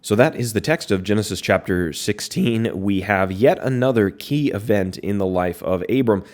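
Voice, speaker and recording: A man hosting a Bible study podcast. His voice is hoarse from illness, and he reads scripture aloud and explains it in a plain, conversational tone. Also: fairly low pitch, normal speed, darkish tone.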